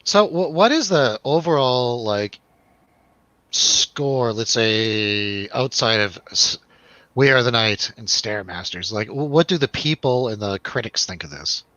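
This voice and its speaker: Bright, forceful-voiced man hosting casual chat. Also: mid-range voice, expressive, unhurried, dry recording, highs cut off. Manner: joking, teasing. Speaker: host of a film review show. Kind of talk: casual jokey panel chat.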